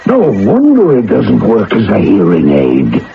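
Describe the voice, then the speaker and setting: An actor in a radio drama playing a courteous but prying, insistent FBI security guard. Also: male voice, on the low side, wildly swooping pitch, measured pace, gravelly, over loud music, full character voice. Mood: surprised, suspicious. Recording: slightly noisy, muffled old radio recording.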